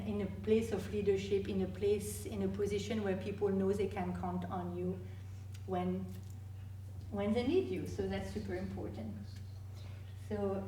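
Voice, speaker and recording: A woman, a guest speaker answering audience questions in a halting way with many restarts. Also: medium pitch, a little mellow, a little hiss or hum. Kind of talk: live Q&A presentation. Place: meeting hall. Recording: echoey hall from far off.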